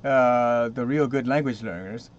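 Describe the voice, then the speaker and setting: A man's voice: mid-range voice, unhurried. A man hosting an interview, talking casually with many fillers and restarts. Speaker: interview host. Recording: dull lo-fi line with faint noise.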